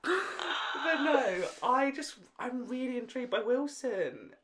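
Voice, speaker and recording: British woman podcaster, very animated, swooping delivery. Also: sits high, slow pace, noisy background. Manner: playful, jokey, opinionated. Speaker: podcast co-host. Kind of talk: casual podcast chat.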